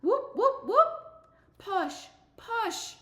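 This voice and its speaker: A woman's voice: extremely high pitch, big pitch swings, drawn-out words, pushed and bright, voice pushed up, full character voice. Woman as a sassy young girl runner, echoey room. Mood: driven, urgent. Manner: slangy, emphatic first-person storytelling. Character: Patty, fiercely competitive, sassy middle-school girl track runner. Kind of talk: expressive audiobook-style read-aloud.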